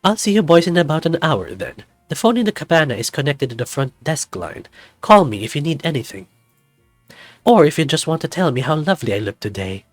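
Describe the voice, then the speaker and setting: A man's voice: fairly high pitch, very animated, a little fast, no room sound, faint music behind. Man putting on a flamboyant, lilting older snow leopard. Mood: flirty.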